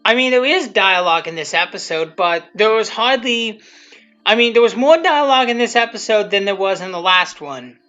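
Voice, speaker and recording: Bright, muffled, halting amateur commentator. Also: mid-range voice, expressive. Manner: halting, repetitive, often restarting sentences; blunt, self-deprecating, casual swearing. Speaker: creator giving commentary on own video. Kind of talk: rambling unscripted video commentary. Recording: muffled lo-fi audio, music behind.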